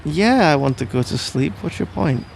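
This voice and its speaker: A man's voice: a little high, lively intonation, normal speed, vocal fry, slight background noise. A man playing a sleepy, cuddly husband in an intimate ASMR roleplay, drowsy, affectionate and rambling, with playful melodrama. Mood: playfully defensive.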